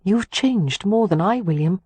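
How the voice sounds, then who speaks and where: An earnest young gentlewoman, gentle and pleading with a tart edge, as a woman narrator gives her voice. Her voice is lifted a few steps above the narration and stays breathy. The reading is close and dry. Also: medium pitch, lively intonation, unhurried, no room sound, pitched above the performer's own voice.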